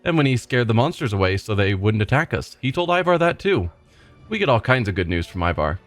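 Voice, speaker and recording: A man's voice: mid-range voice, sing-song, a little fast, no echo, lightly acted. A man voicing a cheerful, simple-minded male village fisherman who rambles and repeats himself proudly. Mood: eager. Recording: faint game music behind.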